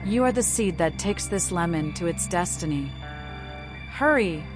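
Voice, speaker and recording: A woman's voice: fairly low pitch, animated, moderate pace, over loud music. A woman giving a dramatic scripted reading, voicing a video game's protagonist and its texts. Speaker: gamer voicing the protagonist and reading game text.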